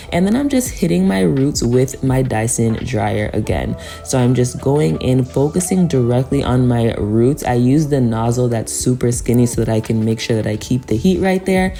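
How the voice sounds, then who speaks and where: A woman's voice: rumbling low, vocal fry, mellow. A woman giving a casual, chatty tutorial voice-over, speaking to viewers in an encouraging way. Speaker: hair tutorial vlogger.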